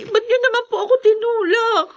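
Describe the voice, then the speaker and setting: An actress playing a meek, wounded daughter in a Filipino audio drama, timid and pleading. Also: female voice, very high voice, fairly even intonation, brisk, dull audio. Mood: hurt, pleading. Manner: timid, plaintive. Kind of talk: Filipino podcast radio drama acting.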